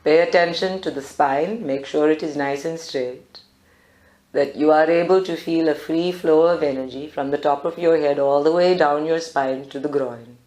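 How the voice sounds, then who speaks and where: A woman guiding a live group meditation in a calm, deliberate, instructive way. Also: deep voice. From South Africa. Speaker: meditation guide. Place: indoor group meditation room. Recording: roomy live recording.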